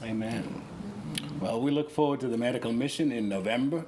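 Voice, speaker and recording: Bahamian man hosting over clear background noise. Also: mid-range voice, brisk. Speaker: host and relief coordinator. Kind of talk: unscripted interview and announcements. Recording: clearly noisy room ambience.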